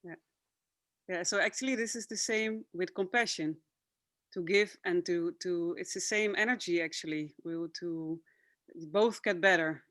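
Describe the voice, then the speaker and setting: A woman whose first language is Dutch, interviewing a guest in a halting, hesitant way. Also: on the low side, a bit bright, dry recording, clean studio sound. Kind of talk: casual interview chat.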